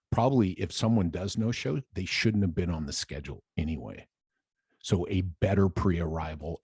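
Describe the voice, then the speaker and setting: A man's voice: low-pitched, a little mellow, breathy edge, dry recording. A man in his fifties giving an informal coaching monologue to camera, emphatic and checking in with rhetorical questions, close to the microphone. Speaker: business coach, presenter. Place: room with brick wall and window, indoors. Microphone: large microphone close to the mouth.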